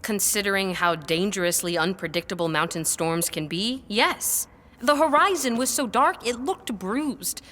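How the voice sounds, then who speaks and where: A woman playing a composed, sceptical manor employee in a period audio drama, with a measured, formal, restrained manner. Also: mid-range voice, fairly bright tone, close to an everyday voice. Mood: grave recounting.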